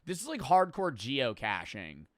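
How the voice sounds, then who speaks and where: A man reacting to a video with excitable, joking commentary, swearing as he riffs. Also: medium pitch, measured pace, no echo, clean studio sound. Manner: excitable, swearing, riffing jokes.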